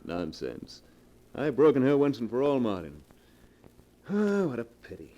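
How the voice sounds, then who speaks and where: An actor playing a vain, scheming husband who gloats theatrically in a 1940s radio drama. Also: male voice, medium pitch, huge pitch swings, a little slow, mellow, dull audio. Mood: smug. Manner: theatrical, boastful, self-satisfied.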